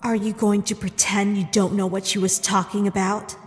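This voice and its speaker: A woman's voice: mid-range voice, flat delivery, normal speed, lightly acted. A woman acting a betrayed, indignant young princess in a dramatic comic dub, with an accusing, emphatic delivery. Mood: hurt, suspicious. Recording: long hall reverb under music.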